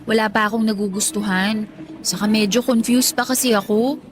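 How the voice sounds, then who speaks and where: A woman's voice: medium pitch, fairly even intonation, normal speed, soft background music, lightly acted. A woman playing a curious, teasing young woman in a radio drama. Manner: inquisitive, teasing.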